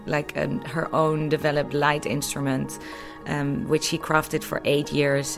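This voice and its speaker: A woman with a fairly low voice gives an informal, explanatory interview as a museum representative. Music plays clearly behind her as she talks.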